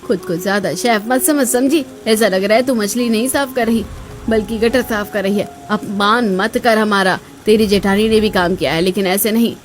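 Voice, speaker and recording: A woman voicing sharp-tongued, bossy female family members in a Hindi cartoon story. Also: mid-range voice, normal speed, close to an everyday voice. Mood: bossy, scornful.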